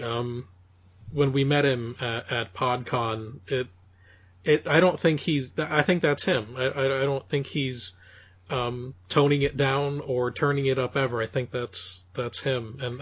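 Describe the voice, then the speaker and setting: A man co-hosting a podcast in casual conversation, haltingly repeating words as he searches for his phrasing. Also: medium pitch, steady tone, a bit bright. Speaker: podcast co-host. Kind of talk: casual chat reviewing audio dramas. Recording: dull, lo-fi voice recording.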